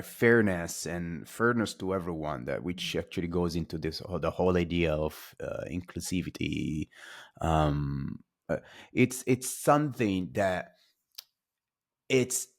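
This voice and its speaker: A man hosting an interview, talking hesitantly with many fillers and restarts. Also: low-pitched. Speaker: podcast host. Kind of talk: casual interview.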